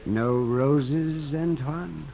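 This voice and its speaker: An actor playing a bitter, excitable half-blind stonecutter in an old radio drama, theatrical and exclamatory. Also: male voice, fairly high pitch, drawn-out words, vocal fry, exaggerated voice. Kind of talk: 1940s radio drama acting. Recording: muffled old radio, crowd behind.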